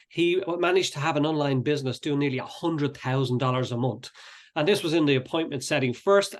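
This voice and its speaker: A man hosting a podcast interview in a conversational way, with wordy, wandering questions and comments. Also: fairly high pitch.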